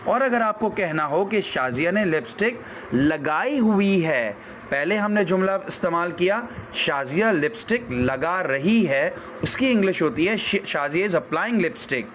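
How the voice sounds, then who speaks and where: A man with a sore throat gives a language lesson, with a voice that sits a little high for a man. He speaks very slowly and deliberately, saying every example sentence twice. Faint traffic noise runs in the background. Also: lively intonation, a bit bright. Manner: slow, deliberate, repeats each example sentence. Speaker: English language teacher.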